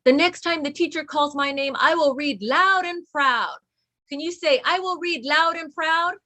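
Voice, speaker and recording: A woman's voice: sits high, unhurried, bright, forceful tone. A woman reading a children's book aloud to pupils as facilitator, encouraging them and asking them to repeat. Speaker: read-along facilitator.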